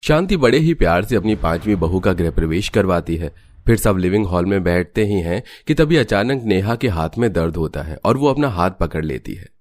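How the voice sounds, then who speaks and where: A man's voice: low voice, very animated, normal speed, darkish tone. A man reading scripted storytelling narration for a cartoon family tale.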